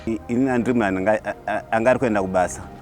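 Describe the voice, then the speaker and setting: Zimbabwean male, low register, halting personal testimony, music underneath. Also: fairly even intonation. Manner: halting, frequent repeats. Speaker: minibus conductor.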